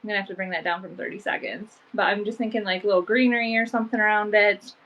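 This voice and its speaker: A woman with stuffy allergies talks loosely and chattily as she hosts a live vintage sale from home. Her voice sits at an ordinary mid pitch, and her sentences ramble along, filled with fillers. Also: fairly even intonation, fast, lo-fi sound. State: sniffly from allergies. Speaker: online vintage seller. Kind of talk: casual live-sale chat.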